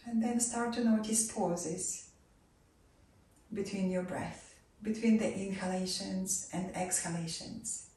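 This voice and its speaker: Woman, relaxation instructor, unhurried, warm mellow tone, soft near-whispered cues, echoey room, mic far off. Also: medium pitch, fairly even intonation. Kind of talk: guided relaxation and meditation. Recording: roomy echo, voice far from mic.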